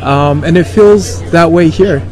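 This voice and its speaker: A man's voice: a little high, unhurried, rough recording. A man from China who grew up in Los Angeles, talking earnestly and informally as an interview guest. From born in rural China, raised in Los Angeles. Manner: informal, peppered with 'like' and 'you know'. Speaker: interview guest, cancer center operator. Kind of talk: casual, earnest interview talk. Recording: crowd and traffic noise behind.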